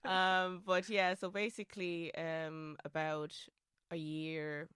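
Low-voiced Austrian guest, smooth and halting. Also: female voice, flat delivery, a bit bright, no echo, studio quality. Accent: Austrian German. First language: German. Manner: thoughtful, halting, many fillers and restarts. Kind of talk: casual interview chat.

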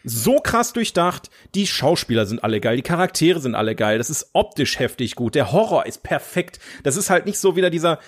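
A man's voice: a little high, big pitch swings, a little fast, hardly any pauses, breathy edge. A young man with a German accent chatting casually as a podcast host, enthusiastic, colloquial and free with swearing. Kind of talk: casual chat about films and series.

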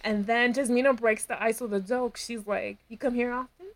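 An animated woman recaps a show with a fellow host, her voice sitting fairly high. She laughs easily, talks with her hands and throws herself into the lines she quotes. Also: moderate pace. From Dominican heritage. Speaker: podcast co-host. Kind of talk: casual recap chat.

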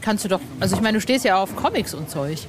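A woman's voice: mid-range voice, lively intonation, fast, noisy background. A woman interviewing casually, with slangy, informal talk sprinkled with English phrases. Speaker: podcast interviewer.

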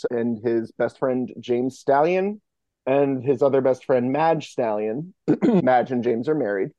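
A man's voice: medium pitch, mellow, dry recording, muffled recording. A man chatting casually as a podcast guest, with a jokey, self-mocking manner. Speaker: podcast guest, fiction podcast co-creator. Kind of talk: casual interview chat.

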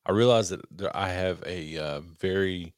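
A man, co-hosting a casual podcast with wry, deadpan jokes. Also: sits low, moderate pace, clean tone, dry recording, studio quality. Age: 30s. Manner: wry, deadpan jokes, jumps between topics. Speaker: podcast co-host. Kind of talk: casual chat.

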